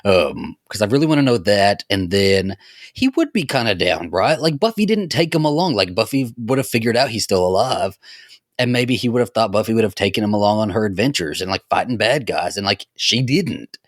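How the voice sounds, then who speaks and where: A man's voice: lowish voice, animated, fairly quick, dry recording, clean studio sound. A man co-hosting a podcast, thinking aloud in casual, sweary discussion of fiction. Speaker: podcast co-host.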